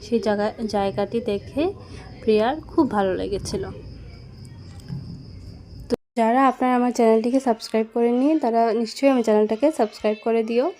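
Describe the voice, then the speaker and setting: Mellow, fairly high-voiced woman narrator. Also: brisk, long pauses. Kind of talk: storytelling narration for a children's cartoon.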